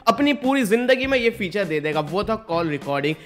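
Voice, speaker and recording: A man's voice: sits high, sing-song, fairly quick, soft background music. A man, giving a casual, conversational tech explainer to viewers as a video host, emphasizing points by repeating them. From India. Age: 20s. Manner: conversational, repetitive emphasis, addresses viewers informally. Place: small indoor studio. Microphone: desk microphone close in front.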